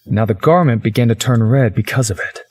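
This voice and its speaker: A man's voice: medium pitch, fairly quick. A man narrating a visual novel in the first person, as a story's narrator.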